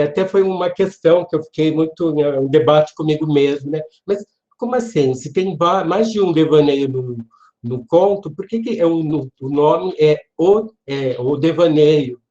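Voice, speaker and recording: A man with a Brazilian accent giving an informal online literature lecture in a hesitant, halting manner, often checking for agreement. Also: fairly high pitch, dark tone. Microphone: online video call. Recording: dull, lo-fi online class audio.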